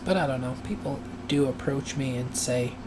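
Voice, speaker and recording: A man talks to himself while he works, pausing often and circling back to his points. His voice is medium in pitch and has turned raspy and dry from a long session. A steady air-conditioner hum sits clearly behind him. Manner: musing, hesitant, thinks aloud with many pauses. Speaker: animator live-streaming his work. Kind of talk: rambling casual live-stream talk while working. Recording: noisy room hum behind voice.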